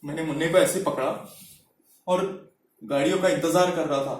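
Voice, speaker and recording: Grief-stricken village father, played by a male actor, high-pitched, halting, pleading monologue delivery in a very reverberant room. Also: steady tone, distant mic, close to an everyday voice. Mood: weary, pleading. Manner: halting, repetitive, emotional delivery with pleading address. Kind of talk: dramatic acting monologue.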